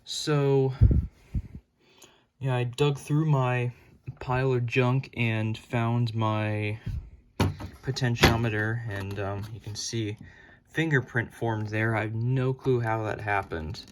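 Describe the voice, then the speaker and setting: A man's voice: mid-range voice, fairly even intonation. A man giving a casual, informal hands-on DIY demonstration as a hobbyist. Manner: unhurried, informal. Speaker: hobbyist presenter. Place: his room at home. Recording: clearly noisy home recording with clicks.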